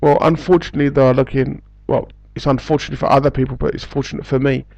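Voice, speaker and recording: A man's voice: medium pitch, flat delivery, fast talker, creaky, a little mellow, no room sound, dull audio. A man from England with an English accent, giving rambling, casual advice about teaching jobs, full of fillers and restarts. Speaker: English school recruiter.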